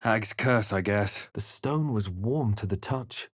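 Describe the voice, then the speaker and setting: Grave, low-voiced fantasy adventurer hero. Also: male voice, slow, husky, dry recording, clean studio sound. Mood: wary muttering. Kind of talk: dramatic first-person game narration and character lines.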